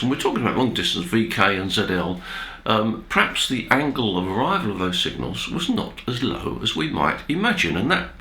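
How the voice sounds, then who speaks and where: A man presenting an informal technical explainer as a video host, speaking in a measured, hesitant way with pauses. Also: on the low side, pushed and bright. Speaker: amateur radio video host. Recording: roomy, echoey indoor sound.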